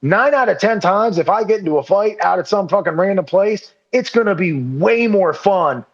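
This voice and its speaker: A man's voice: sits high, lively intonation, moderate pace, highs cut off. A man in casual podcast chat, rambling and self-deprecating, swearing freely and saying "dude" and "like" constantly. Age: under 40. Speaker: podcast guest.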